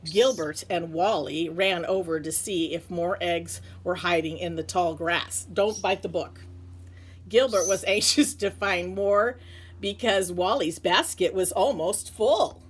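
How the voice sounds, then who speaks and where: A woman teacher reading a children's picture book aloud in an animated way, with playful, chatty asides and questions to her pet bird. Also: on the low side, measured pace, slightly rough.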